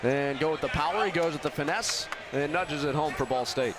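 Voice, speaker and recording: A man's voice: a little high, a little fast, over a noisy crowd. An American man giving live volleyball analysis as colour commentator, explaining plays with emphasis on key words. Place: indoor sports arena during a match. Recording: clear gym noise, bouncing balls. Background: arena noise, balls bouncing.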